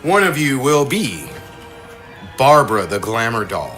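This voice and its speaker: A man's voice: medium pitch, big pitch swings, unhurried, fairly bright tone, faint music behind. A man acting as game host, casually introducing and reading out character descriptions, often chuckling as he goes. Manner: chuckles through his announcements.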